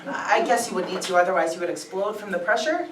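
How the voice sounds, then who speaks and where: A woman's voice: mid-range voice, fast talker, close to an everyday voice. A woman playing a curious, baffled party guest in a staged play reading, questioning everything with blunt incredulity. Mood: incredulous. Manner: inquisitive, incredulous. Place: large indoor room, live staged reading. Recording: distant mic, echoey room, audience noise.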